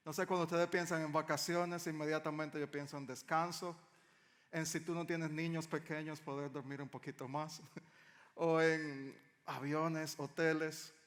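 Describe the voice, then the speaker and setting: A man's voice: a little high, level tone, measured pace, a bit bright. A man from the Dominican Republic with a Dominican accent, preaching a sermon as a pastor in a conversational way, often posing questions to the congregation. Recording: echoey hall with long reverb.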